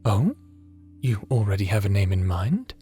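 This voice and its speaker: A man playing a gentle, fatherly creator god with a hidden weariness in a scripted audio roleplay, soft-spoken and deliberate, sometimes slipping into a whisper. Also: on the low side, very animated, soft background music. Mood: tender, doting. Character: gentle fatherly creator god, tender mentor, secretly haunted and weary.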